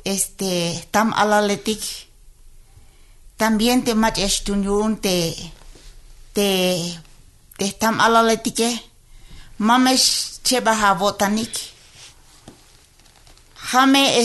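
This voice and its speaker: A woman from Tenejapa, Chiapas, a traditional midwife, speaking in an interview in a halting way with fillers and Spanish words mixed in. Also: medium pitch, fairly bright tone. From Tenejapa, Chiapas, Mexico. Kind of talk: recorded interview statement.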